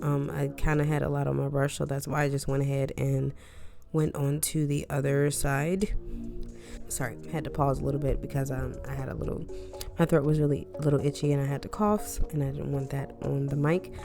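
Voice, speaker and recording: A woman with a deep, low voice talks through a makeup tutorial in a loose, rambling way. She sounds congested and a little scratchy from a lingering cold. Music plays clearly under her voice. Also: little pitch movement, brisk. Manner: chatty, rambling asides, frequent "um" and "like". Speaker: beauty vlogger. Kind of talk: casual makeup tutorial chat.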